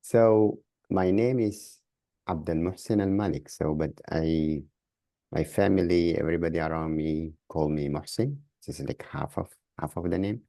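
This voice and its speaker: A man from Sudan with a Sudanese accent, answering as a podcast guest in a halting way, repeating words as he searches for phrasing. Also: low voice, vocal fry, mellow, no room sound. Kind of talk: interview answers.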